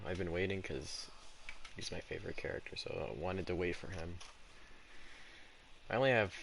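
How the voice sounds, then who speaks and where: A man giving casual, rambling, offhand commentary as he streams a video game. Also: deep voice. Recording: loud game music behind.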